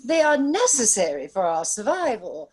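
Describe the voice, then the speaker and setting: Casting: a woman to play a stern radio-drama enforcer, raspy, expressive, politely threatening. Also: a little high, poor audio. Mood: coldly threatening. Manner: measured, coldly polite, threatening. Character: stern, menacing female enforcer of secret rules. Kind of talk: old-time radio drama acting.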